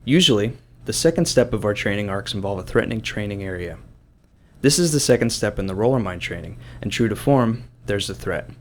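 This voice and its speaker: Male game developer, somewhat low voice, reading prepared commentary. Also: expressive, a little fast.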